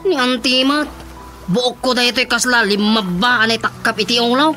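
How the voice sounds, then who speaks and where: A man's voice: very high-pitched, steady tone, moderate pace, sharp tone, soft background music. An actor with an Ilocano Filipino accent playing a chatty, cheeky young male relative in a radio drama comedy. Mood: excited.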